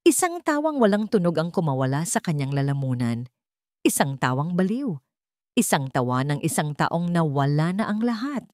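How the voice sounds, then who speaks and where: A woman reads a scripted dramatic story in a measured, dramatic way. Her voice sits a little low. The recording is dry and close, with no room sound. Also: very animated, darkish tone, no room sound.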